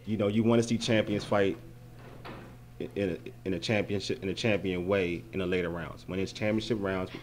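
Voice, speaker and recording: A man with a deep, low-pitched voice talks through a boxing fight at an indoor arena event, speaking into a handheld mic. He is animated and often repeats a word while he gathers his thoughts. Steady noise runs behind him. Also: fairly quick. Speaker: boxing analyst guest. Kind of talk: post-fight interview.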